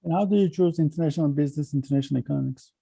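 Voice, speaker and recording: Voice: mid-range voice, very fast, gravelly, mellow, breathy edge, no room sound. An interviewer asking brief, encouraging questions.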